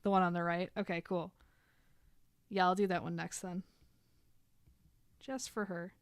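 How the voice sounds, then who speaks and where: A woman's voice: fairly low pitch, flat delivery, measured pace, dry recording, clean studio sound. A woman in her thirties chatting casually while drawing on a live stream, rambling and filling gaps with "like". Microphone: desk microphone.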